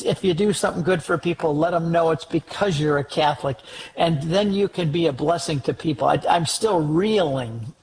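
A man's voice: a little high, creaky, slight background noise, rough recording. A man who is a guest on an interview show, telling a personal story and exhorting listeners in an earnest way. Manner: earnest, exhorting, slows down for emphasis.

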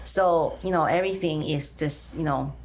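A middle-aged woman from Taiwan with a Taiwanese accent, answering interview questions conversationally and haltingly, often pausing mid-phrase. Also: low voice, a little mellow, rough recording. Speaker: interview guest, teacher. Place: radio studio.

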